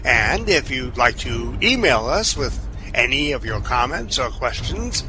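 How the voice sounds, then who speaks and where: A man announcing for an audio drama series, reading scripted narration and credits in a measured, formal, theatrical manner. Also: medium pitch, big pitch swings, pushed and bright, soft background music.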